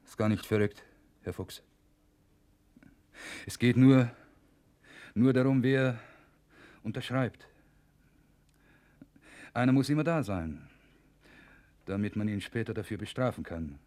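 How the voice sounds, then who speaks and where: An actor playing a bitter, resigned middle-aged professor in prison in a German radio play, measured and halting, with dry sarcasm. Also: male voice, medium pitch, a little mellow, lightly acted. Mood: bitter, sardonic.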